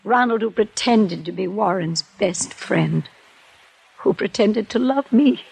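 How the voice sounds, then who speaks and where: An anxious society widow, 1950s radio style: gravelly, breathy, proper diction, dry close sound. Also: female voice, medium pitch, slow, darkish tone, exaggerated voice. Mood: anxious, aggrieved. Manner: refined, anxious, proper old-fashioned diction. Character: genteel wealthy widow-aunt, fearful and aggrieved.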